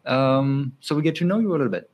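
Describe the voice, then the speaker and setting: A man's voice: mid-range voice, quick pace, darkish tone, breathy edge. Upbeat male interview host. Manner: upbeat, welcoming, asks questions. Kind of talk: hosting an interview.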